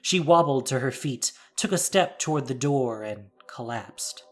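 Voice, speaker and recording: Audio fiction narrator: mid-pitched, animated intonation, expressive scripted read over a light music bed. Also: unhurried.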